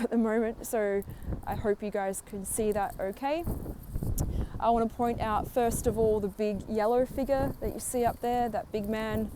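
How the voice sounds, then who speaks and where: A woman's voice: mid-range voice, mellow, a little hiss or hum. A woman in her thirties from Australia with an Australian accent, a tour guide chatting through a live walking tour on her phone with many "uh" fillers. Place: sunny street outdoors. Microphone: phone microphone.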